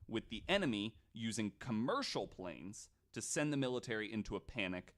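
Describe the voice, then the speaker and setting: A man hosting a podcast, telling a story to co-hosts in casual, comedic, animated chat with some swearing. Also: fairly low pitch, normal speed.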